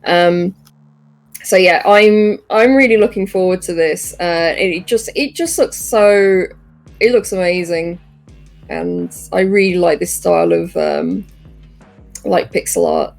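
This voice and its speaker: A woman co-hosting a podcast, chatting casually about games in a hesitant, halting way. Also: lowish voice, animated, darkish tone, soft background music. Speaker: podcast co-host.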